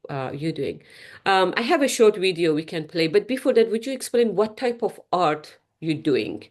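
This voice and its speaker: A woman hosting an interview, speaking as a with halting phrasing. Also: lowish voice, big pitch swings. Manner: halting, non-native phrasing, warm laughs. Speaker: interview host.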